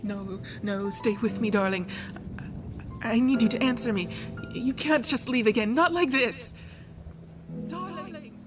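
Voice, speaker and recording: A woman playing a proud vampire baroness in a theatrical ASMR roleplay, speaking in an imperious, deliberate manner with dramatic pauses. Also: mid-range voice, steady tone, music in the background. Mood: shocked, pleading. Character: proud vampire baroness, menacing yet secretly tender.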